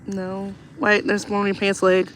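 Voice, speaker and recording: A woman's voice: mid-range voice, completely flat delivery, moderate pace, a bit bright, a little hiss or hum, low-quality recording. A woman in her thirties, chatty and teasing, co-hosting a casual outdoor livestream. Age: 30s. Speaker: co-host reading viewer comments. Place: outdoors on a rural roadside.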